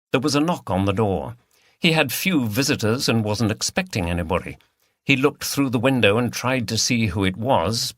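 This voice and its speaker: A man's voice: lowish voice, fairly bright tone, no room sound. A man reading a mystery novel aloud as a scripted audiobook narration.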